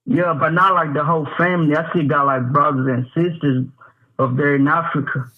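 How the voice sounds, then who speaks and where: A man's voice: fairly high pitch, steady tone. Rambling man on a tinny phone line. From Tanzania. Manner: rambling, halting, lots of fillers. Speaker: caller.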